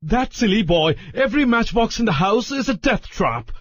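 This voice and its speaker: A man plays a blustering young man who bellows in exasperation, his voice pushed up high and strained. The sound is husky and dry, on a slightly dull storybook recording. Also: lively intonation, moderate pace, dry recording. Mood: exasperated bellowing.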